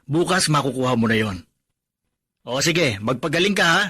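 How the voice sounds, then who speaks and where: A man's voice: medium pitch, lively intonation, moderate pace, sharp tone, breathy edge, dry recording, clean studio sound. An actor playing a tenderhearted, hardworking Filipino husband and father in an earnest, emotional radio drama. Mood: kindly, concerned.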